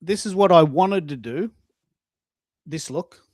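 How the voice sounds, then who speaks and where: A man's voice: on the high side, slow pace, darkish tone, no room sound, clean studio sound. An Australian man hosting a casual online chat show, emphatic and repeating phrases for stress. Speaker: host of a live online audio show.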